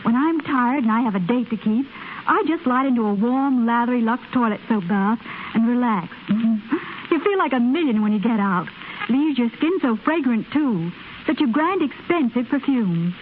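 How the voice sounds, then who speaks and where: A woman's voice: on the high side, lively intonation, moderate pace, lightly acted. An actress playing a breezy, slangy young woman chatting in a 1930s radio soap advert. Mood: breezy, chatty. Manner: chatty, carefree. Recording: muffled, hissy 1930s radio recording.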